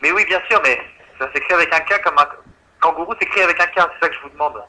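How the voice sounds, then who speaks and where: A man's voice: a little high, fast, sharp tone, slight background noise. A man working as an insurance phone agent, patiently and politely explaining things over a tinny telephone line. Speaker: insurance call-centre agent. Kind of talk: customer service phone call.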